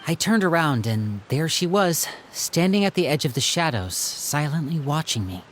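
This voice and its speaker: Calm, earnest youth acted out, mid-pitched. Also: slight background noise, natural voice. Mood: concerned. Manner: measured, storytelling. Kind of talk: video game voice acting.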